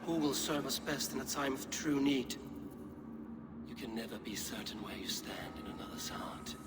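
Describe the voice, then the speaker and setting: An actor playing a stern, steady Viking warrior in a game cutscene, speaking in a blunt, measured, weighty way. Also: male voice, mid-range voice, in a whisper, lightly acted. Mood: brooding, resolute. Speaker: video game lead character. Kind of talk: dramatic game cutscene acting.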